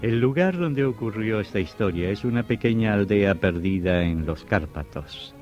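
A man's voice: on the low side, animated, normal speed, a little mellow. A man narrating a horror radio drama in a solemn, theatrical way. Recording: dull vintage radio recording, music behind.

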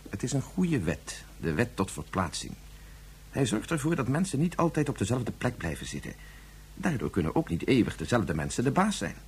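Preoccupied sci-fi father: very husky, lively intonation, formally explanatory, radio play. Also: mid-range voice, normal speed. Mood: explaining. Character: preoccupied, reasoning father in a future society. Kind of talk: radio drama acting.

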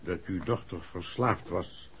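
An actor playing an authoritative, impatient senior police chief in a 1960s Dutch radio play. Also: male voice, sits low, unhurried, fairly bright tone, a little hiss or hum. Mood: formal. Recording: dull, muffled vintage radio recording.

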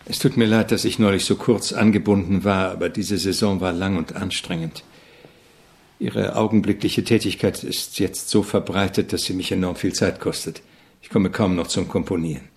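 An actor playing a weary, courteous and evasive famous composer in a radio play, with a deadpan delivery. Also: male voice, low-pitched, moderate pace, husky.

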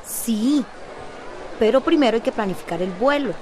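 An actress playing an earnest high-school girl, expressive and coaxing, in a radio drama. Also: normal speed, background noise, natural voice. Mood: earnest, persuading. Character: earnest high-school girl, dreamy but newly practical.

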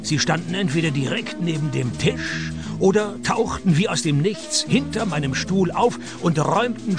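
An actor playing a wry, hard-drinking private detective in a radio play, dry and sardonic. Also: male voice, on the high side. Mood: dry, laconic.

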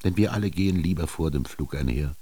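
An actor playing a solemn, brooding ancient Egyptian royal scribe in a radio drama, declaiming deliberately and theatrically. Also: male voice, extremely low pitch, dark tone, slightly rough, no echo. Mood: gruff, brooding. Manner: deliberate, declaiming, theatrical, swinging between murmur and proclamation. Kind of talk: literary radio drama monologue.

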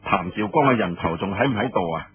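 A man voicing an imperious, sly senior army commander in a Cantonese audiobook story, questioning his listeners in a commanding, drawn-out way. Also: deep voice, animated, slight background noise, voice pushed down. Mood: stern command. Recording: muffled lo-fi roomy radio-like recording.

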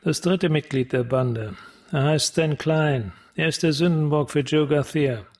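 An actor playing a determined hard-boiled police detective, insistent and matter-of-fact, in a German radio crime drama. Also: male voice, on the high side, unhurried.

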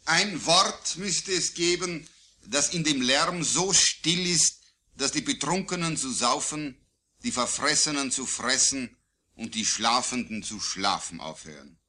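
A man reciting his own poetry solemnly, in a declamatory, deliberate way with phrases falling at the line endings. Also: medium pitch, animated, sharp tone. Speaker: poet. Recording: digitized vintage vinyl record.

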